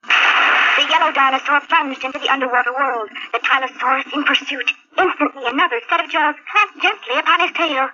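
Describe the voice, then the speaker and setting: A woman's voice: high voice, fairly quick, pushed and bright. A woman narrating a scripted children's story, on a muffled 1970s talking toy recording.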